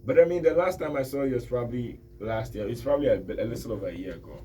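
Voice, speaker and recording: A man's voice: mid-range voice, lively intonation, very fast, mellow, a little hiss or hum. A man hosting a radio interview in a friendly, loose way, with lots of fillers and hesitations. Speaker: radio host. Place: radio studio.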